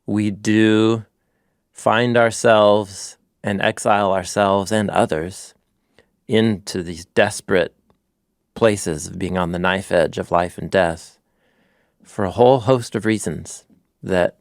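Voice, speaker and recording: A man's voice: fairly low pitch, takes it slowly, vocal fry, dry recording, clean studio sound. A man co-hosting a podcast, talking through ideas in an earnest, reflective, conversational way. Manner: earnest, thoughtful, lively emphasis. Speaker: podcast co-host.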